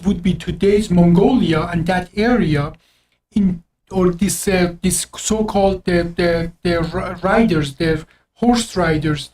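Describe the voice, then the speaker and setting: A man's voice: high voice, darkish tone. A man from Iran with an Azeri accent and Azeri Turkish as his first language, explaining history in a rambling, casual way with many restarts. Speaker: host. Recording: distant from the mic.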